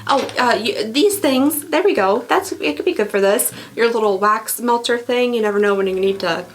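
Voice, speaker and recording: A woman hosting a casual vlog, chatty and rambling with frequent giggles, her voice going hoarse from talking. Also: fairly high pitch, normal speed. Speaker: vlogger host. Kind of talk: casual unboxing review.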